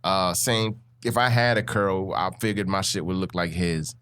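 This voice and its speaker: A young man from the Bahamas, a guest comedian, telling animated stories from his childhood in casual podcast talk. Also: low voice, moderate pace, smooth. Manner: animated, acts out childhood voices.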